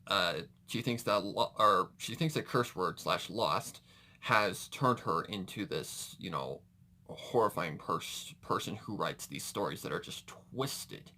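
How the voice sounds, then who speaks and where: A man's voice: low-pitched, expressive, unhurried, bright, forceful tone. A man giving casual reaction commentary as a video host, rambling and correcting himself as he goes, with mild slangy exclamations.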